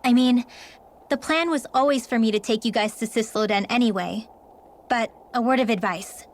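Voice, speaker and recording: A woman's voice: on the high side, moderate pace, a little hiss or hum, close to an everyday voice. A young woman voicing a plucky, candid girl guide in a video game. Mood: frank, cautioning.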